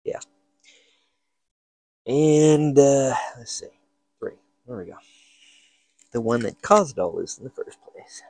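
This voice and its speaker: A man's voice: mid-range voice, expressive, slow pace, vocal fry, long pauses, a little mellow, no room sound. A man giving casual hands-on repair narration, half talking to himself in fragments as he works in an indoor workshop. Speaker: hobbyist repair host.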